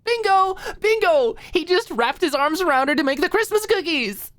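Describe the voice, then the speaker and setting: Female narrator as a bubbly young woman, eager, self-doubting, pitched well up, audiobook character, very dry recording. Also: normal speed, dry recording. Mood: excited. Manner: excitable, gushing, flustered.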